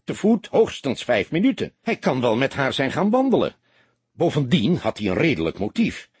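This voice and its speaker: A man's voice: mid-range voice, wildly swooping pitch, moderate pace, husky, no echo, pitched above the performer's own voice, exaggerated voice. A man voicing a young male detective in an audiobook, agitated, indignant and incredulous. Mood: agitated disbelief.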